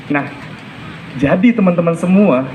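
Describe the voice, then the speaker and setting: A high-voiced man speaks as a storytelling coach. He explains point by point, stressing and repeating what matters, with some background noise around him. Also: a little slow, darkish tone, rough recording. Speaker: storytelling coach and judge giving feedback. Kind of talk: spoken feedback with demonstrations.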